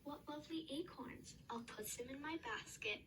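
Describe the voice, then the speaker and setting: A sweet, innocent little girl from a storybook, acted out by a woman reader, high-pitched and polite. She exclaims brightly in a cheerful manner, over a noisy recording. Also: lively intonation, moderate pace.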